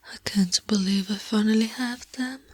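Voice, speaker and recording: A woman playing an obsessive, sweetly menacing goth girlfriend in an ASMR roleplay, soft, cooing and possessive, often dropping into whispers. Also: mid-range voice, normal speed, breathy edge, natural voice. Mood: whispered adoration. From Italy.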